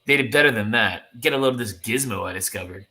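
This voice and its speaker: A man voicing an excited, earnest teenage girl superhero from a comic book, full of eager wonder. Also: mid-range voice, fast, close to an everyday voice.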